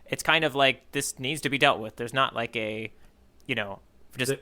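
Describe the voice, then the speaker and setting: A man's voice: mid-range voice, normal speed, bright, forceful tone. A man co-hosting a podcast in casual chat, musing aloud and hedging with "like" and "you know". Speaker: podcast co-host reading the manga for the first time.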